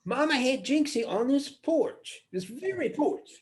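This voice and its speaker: An actress playing an excitable, fond rural sister with a Southern US accent and a folksy, exclamatory manner in a stage play. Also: female voice, high-pitched, a little slow. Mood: fond, animated.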